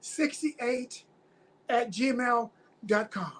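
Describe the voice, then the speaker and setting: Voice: medium pitch, drawn-out words. A guest in their fifties giving personal testimony in a casual interview, speaking earnestly and repeating phrases for emphasis. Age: 50s.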